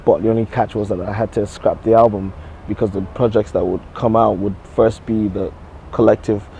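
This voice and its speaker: Young Nigerian male musician, interview guest, mellow low voice, halting delivery, light street noise. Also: fairly even intonation, creaky. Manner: halting, many restarts and fillers. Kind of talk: casual interview chat.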